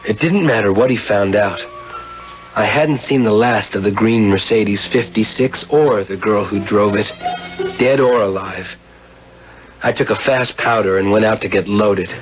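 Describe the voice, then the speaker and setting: An actor playing a troubled, defensive young man in a 1970s radio drama, excitable and insistent. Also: on the low side, unhurried, natural voice. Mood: brooding. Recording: dull vintage radio broadcast with loud music.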